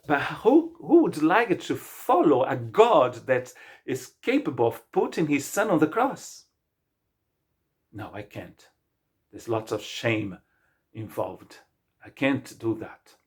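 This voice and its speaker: Husky Brazilian-accented male pastor preaching. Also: medium pitch, very animated. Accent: Brazilian Portuguese. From Brazil, state of Rio de Janeiro. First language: Portuguese. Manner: earnest, halting, with frequent restarts and fillers. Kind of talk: sermon.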